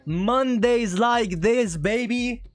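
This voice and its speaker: A man's voice: very high-pitched, drawn-out words. A man with English as his second language, chatting casually on a live trading stream, laughing often and reading chat aloud. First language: not English. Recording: faint music behind.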